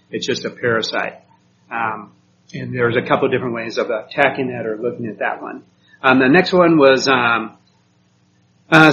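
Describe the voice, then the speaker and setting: A man from Puerto Rico lecturing a class in a relaxed, halting way, stopping often with "um" and checking in with "okay?". His voice sits at a middle pitch. The recording is dull and lo-fi, with a faint room hum behind him. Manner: hesitant, many ums, checks with "okay?". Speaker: teacher.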